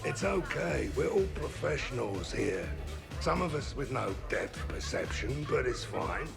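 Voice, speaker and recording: Gravelly deadpan alien squadmate. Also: male voice, medium pitch, measured pace, music in the background, exaggerated voice. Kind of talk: video game voice acting.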